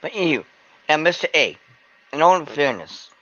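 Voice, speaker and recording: A man joining a livestream chat with jokey, quick interjections. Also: a little high, big pitch swings, fairly bright tone, lo-fi sound. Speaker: livestream guest. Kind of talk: casual chat.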